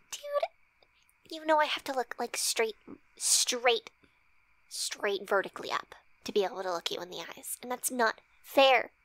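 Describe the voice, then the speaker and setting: A woman playing a flirty, shy, teasing best friend in an intimate ASMR roleplay, with breathy, hesitant, soft speech. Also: fairly high pitch, lively intonation, unhurried, dry recording, low-quality recording. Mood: playful teasing. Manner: breathy whispering, hesitant, giggly.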